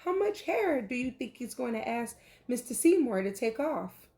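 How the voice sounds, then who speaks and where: A woman reading a picture book aloud like a teacher, with animated, deliberate delivery and questions for young listeners. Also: on the high side. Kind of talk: expressive children's read-aloud with questions to listeners.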